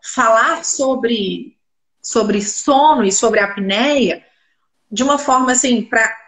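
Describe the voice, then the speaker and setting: A woman's voice: a little high, slow pace, a bit bright. A woman speech therapist, congested a cold, explaining things in an interview and answering her own rhetorical questions. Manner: asks rhetorical questions and answers them herself. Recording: roomy echoey room sound.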